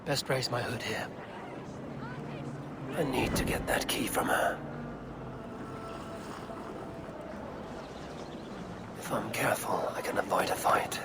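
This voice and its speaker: An actor playing a stealthy, smooth-talking adventurer in a video game, with a measured, understated manner. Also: male voice, mid-range voice, in a whisper, close to an everyday voice. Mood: hushed scheming. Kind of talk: video game dialogue acting. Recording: loud background ambient noise.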